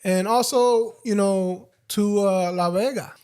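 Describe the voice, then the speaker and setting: A man's voice: high-pitched, slow pace, darkish tone, clear, resonant tone. A young man born in the United States co-hosting a podcast, talking casually, restarting phrases and dropping in Spanish words. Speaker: podcast co-host. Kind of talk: casual chat.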